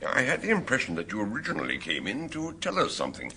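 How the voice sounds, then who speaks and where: An actor playing a shrewd British doctor turned detective in a radio drama, ponderous, hesitant and probing. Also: male voice, fairly low pitch, wildly swooping pitch, quick pace, creaky, bright, forceful tone, a little hiss or hum, full character voice.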